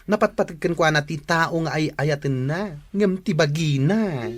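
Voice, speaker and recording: A woman's voice: low-pitched, normal speed. A woman playing a blunt, exasperated young friend, sassy and emphatic, in an Ilocano radio drama. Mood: disapproving. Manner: sassy, emphatic, mixes in English.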